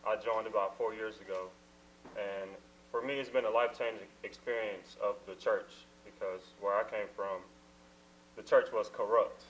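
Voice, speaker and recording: A young man from Minnesota co-hosts a relaxed talk show, his voice pitched low. He speaks softly and lets his sentences fade. A faint hum sits behind him. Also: normal speed, rough recording. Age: young adult. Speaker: co-host. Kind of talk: casual talk show chat.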